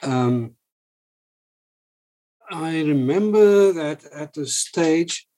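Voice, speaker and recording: A man's voice: fairly high pitch, animated, drawn-out words, mellow. A man, speaking as a panel expert who explains history and recalls details. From South Africa.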